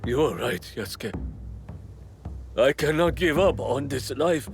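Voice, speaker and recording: An actor playing an aging male sword master, weary and regretful, speaking in a hushed, gravelly voice. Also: mid-range voice, lively intonation, unhurried, faint music behind. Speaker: game character. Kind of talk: video game cutscene acting. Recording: game audio with loud score.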